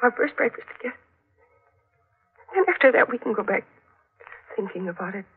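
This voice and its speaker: An actress playing a pleading young newlywed wife, soothing and hopeful, in the style of 1940s radio drama. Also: female voice, a little high, animated, brisk, breathy edge. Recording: narrow-band muffled old radio recording.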